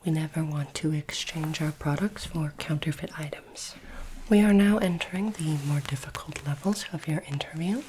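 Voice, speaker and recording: A woman doing a soft-spoken, whispered ASMR roleplay as a polite, formal alien interviewer, with deliberate phrasing. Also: low voice, background noise.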